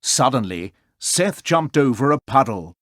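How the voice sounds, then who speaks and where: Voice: mid-range voice, very animated, unhurried, a bit bright, no echo, studio quality. A storyteller reading a children's story aloud as scripted narration.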